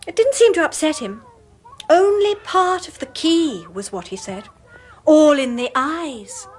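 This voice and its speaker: An actress playing an anxious, troubled young mother in a British radio drama, speaking with an English accent in a tense, halting, confiding way. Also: high-pitched, lively intonation. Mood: troubled, uneasy. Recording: faint noise on radio broadcast.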